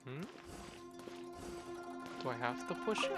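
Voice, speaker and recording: Animated male streamer musing over loud music. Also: mid-range voice, takes it slowly. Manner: musing aloud, offhand. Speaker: game streamer. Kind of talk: casual gameplay commentary. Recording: loud game music behind voice. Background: loud game music.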